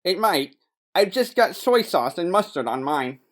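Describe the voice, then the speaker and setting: An actor voicing a flippant, wisecracking private detective's sidekick in a comic noir audio drama, joking in deadpan. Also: mid-range voice, unhurried.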